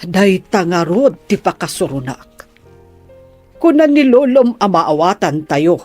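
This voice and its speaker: A woman's voice: on the low side, big pitch swings, slow pace, darkish tone, soft background music, heavily acted. A woman acting in a radio drama as an old, indignant grandmother who complains and grumbles. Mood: indignant, complaining.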